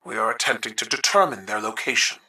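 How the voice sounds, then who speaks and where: Fierce, forceful alien warrior empress. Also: female voice, a bass voice, quick pace, distant mic, heavily acted. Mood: formal, measured. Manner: formal, imperious. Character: proud, stern alien warrior empress burdened by failure. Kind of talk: dramatic game voice acting.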